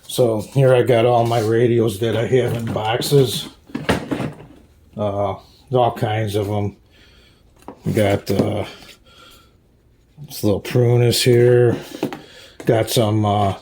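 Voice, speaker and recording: A man giving a casual, unscripted show-and-tell as a hobbyist, halting often and searching for names as he goes. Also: mid-range voice, little pitch movement, noisy background. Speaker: hobbyist showing a radio collection.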